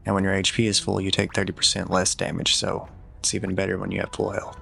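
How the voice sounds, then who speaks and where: A man's voice: low voice, completely flat delivery, quick pace, creaky, fairly bright tone, faint music behind. A man giving casual video game walkthrough commentary and tips in a matter-of-fact, advisory way.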